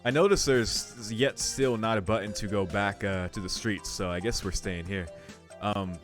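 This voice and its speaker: Young male game streamer, lowish voice, informal and musing, reads text aloud, light music behind. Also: moderate pace. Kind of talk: casual playthrough commentary mixed with reading game text aloud.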